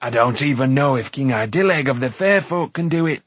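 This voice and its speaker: A man's voice: mid-range voice, lively intonation, a little fast, husky, dry recording. A man voicing an irascible dwarf trapped in a frog's body for an audiobook, snappish, scornful and blustering. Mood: urgent, grumbling. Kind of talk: audiobook character voice.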